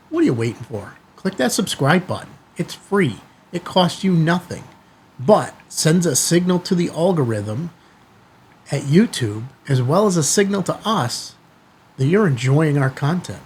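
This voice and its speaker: A man with a somewhat high-pitched voice hosts a casual product review video. He talks conversationally and with emphasis, in short bursts. A light layer of noise sits behind his voice. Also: darkish tone. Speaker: YouTube channel host.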